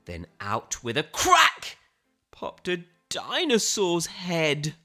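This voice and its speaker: A woman reads a children's picture book with theatrical flair and suspenseful pauses. Her voice is bright and swoops widely in pitch, taking its time over the dramatic words while faint music plays behind her. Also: huge pitch swings. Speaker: storybook reader. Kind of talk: animated children's picture book read-aloud.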